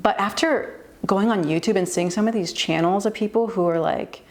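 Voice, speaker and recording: A woman's voice: mid-range voice, normal speed. A young woman, a native English speaker, giving candid beginner tips in a chatty vlog, laughing easily and using many fillers. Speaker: vlogger and language tutor. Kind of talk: casual advice vlog.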